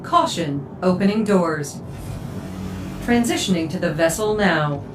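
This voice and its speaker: A woman voicing a polite female ship AI computer that gives crisp, formal announcements with a dry wit. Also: fairly low pitch, expressive, a little slow, background noise. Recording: roomy, distant, music behind.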